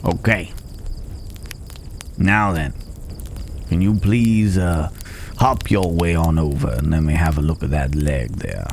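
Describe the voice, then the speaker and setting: Deep male cowboy acted by a man, calm, protective, folksy, gently teasing, ASMR roleplay, crickets chirping behind. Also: slight background noise, heavily acted. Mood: matter-of-fact, instructive. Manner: unhurried, folksy, hesitant pauses, gently teasing. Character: gruff but caring male cowboy stranger, calm and protective. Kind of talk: immersive ASMR roleplay acting. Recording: outdoor night ambience with chirping crickets.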